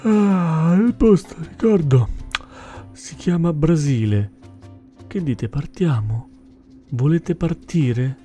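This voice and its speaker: A man voicing a gentle, dreamy sloth in a rhymed children's story, with a slow drawl. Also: fairly high pitch, faint music behind, voice pushed up. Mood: wistful.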